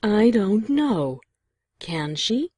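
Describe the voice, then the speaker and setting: A woman's voice: mid-range voice, very animated, extremely slow, warm tone, husky, dry recording, heavily acted. A woman voicing an amused, sarcastic, regal female pony princess with a dry, teasing manner in a cartoon fan fiction reading.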